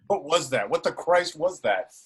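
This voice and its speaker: Man playing a raspy spaceship technician. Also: fairly high pitch, expressive, moderate pace. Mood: practical, uneasy. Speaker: table read actor. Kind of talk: amateur script table read.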